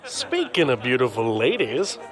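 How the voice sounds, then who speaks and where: A man's voice: mid-range voice, huge pitch swings, moderate pace, over music. An actor playing an easygoing, teasing male sidekick with a playful manner.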